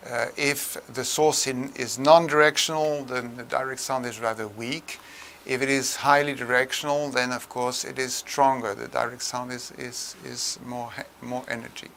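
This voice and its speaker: Older man lecturing, very bright voice. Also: mid-range voice, steady tone, moderate pace, gravelly, slight background noise. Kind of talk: tutorial lecture on room acoustics.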